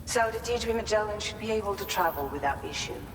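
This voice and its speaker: An actress playing a composed, helpful facility operator who issues orders in a video game, in an echoey, noisy space. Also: female voice, mid-range voice, animated, fast talker, distant mic, close to an everyday voice. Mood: composed, businesslike. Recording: echoey hall reverb, clear background noise.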